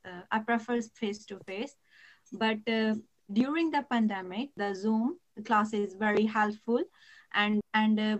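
A woman in her twenties from India speaks in short, simple sentences. The recording is dull and muffled. She answers as a student. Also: fairly high pitch. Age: 20s. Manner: simple sentences, some fillers. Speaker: ESOL student. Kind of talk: podcast interview answers.

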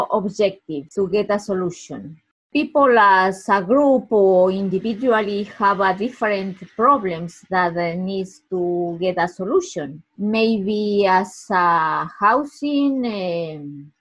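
A woman from Ecuador, an English learner, speaking softly and hesitantly. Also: mid-range voice, husky. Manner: slow, soft, hesitant. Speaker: ESOL student. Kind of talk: casual self-introduction and discussion. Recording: dull lo-fi recording.

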